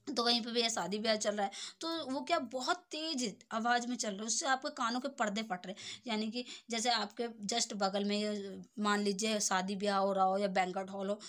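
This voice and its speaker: A young adult woman giving an informal lesson, explaining step by step and often checking that the listener follows. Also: medium pitch. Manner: explanatory, repeatedly checking understanding with tag phrases. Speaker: tutor.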